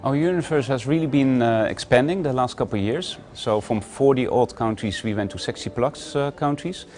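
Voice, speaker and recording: Steady man with frequent filler pauses. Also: medium pitch. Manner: steady, many "uh" fillers between phrases. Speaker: emerging market debt investor. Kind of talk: corporate interview. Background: faint music.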